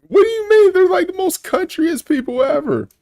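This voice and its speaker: A man's voice: very high voice, lively intonation, moderate pace, a little mellow. A man bantering casually like a streamer reacting to videos, blunt, teasing and slangy, with some swearing.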